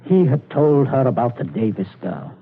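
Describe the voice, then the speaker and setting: A cold, clipped middle-aged father, threatening with quiet calm. The voice is husky and swings widely in pitch. It comes through a tinny old radio broadcast. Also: moderate pace. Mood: menacing calm. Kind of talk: 1940s radio acting.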